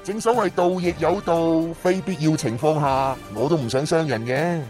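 An actor playing a smug, mocking master thief in a radio drama, sneering and taunting. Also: male voice, fairly high pitch, moderate pace, darkish tone, faint music behind, close to an everyday voice. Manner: sneering, taunting, theatrical laughs.

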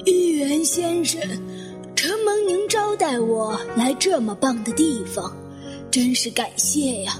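Elderly widow played by a woman, courteous and self-effacing, high and unhurried, reverberant radio drama. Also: animated. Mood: courteous, inquiring. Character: polite elderly widow, modest, gracious hotel guest. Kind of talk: Chinese radio drama acting. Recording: long reverb, music underneath.

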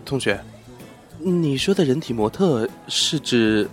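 A man playing a polite, reserved, bookish teenage schoolboy in an audio drama, courteous and hesitant with quiet exasperation. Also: medium pitch, animated, slow, faint music behind. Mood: polite, puzzled. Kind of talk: amateur Chinese audio drama acting.

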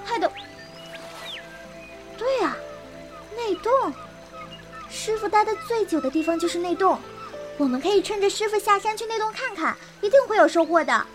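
Pouty, impulsive girl disciple, very high childlike register, wuxia audio drama with music underneath. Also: animated, normal speed, pauses often. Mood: willful, eager. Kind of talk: Chinese wuxia radio drama acting.

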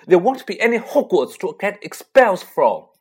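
A reader voicing a desperate, determined schoolboy wizard who shouts in defiance. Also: medium pitch, lively intonation, normal speed, husky, voice pushed up. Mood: defiant.

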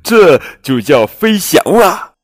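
A man's voice: sits high, sing-song, slow, no echo, pitched above the performer's own voice, exaggerated voice. A man voicing a small, fussy, skeptical bird in a children's storybook. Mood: prim.

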